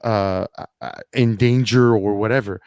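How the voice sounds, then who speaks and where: A man's voice: lowish voice, fairly even intonation, unhurried, darkish tone, clear, resonant tone, no echo. Hesitant man, dry close mic. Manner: hesitant, many fillers, indignant. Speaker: podcast guest. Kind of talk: casual chat.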